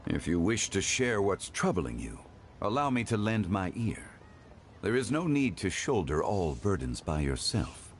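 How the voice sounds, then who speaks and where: Dignified, courteous video game gentleman. Low voice, expressive melody, formal measured phrasing. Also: a little hiss or hum. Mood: warm courtesy. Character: refined, courteous, knowledgeable gentleman with calm old-world dignity.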